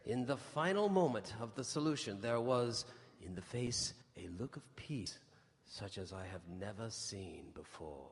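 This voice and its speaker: An actor playing a grave vampire hunter who delivers a deliberate, dramatic report in a radio play. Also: male voice, lowish voice, expressive. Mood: grave awe. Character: grave male vampire hunter giving his final report. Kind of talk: dramatic first-person radio narration. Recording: live hall with long reverb.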